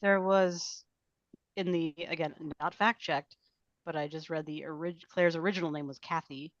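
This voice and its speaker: A woman's voice: deep voice, fairly even intonation, normal speed, no echo. A woman chatting casually in a group discussion, on a dull, lo-fi microphone.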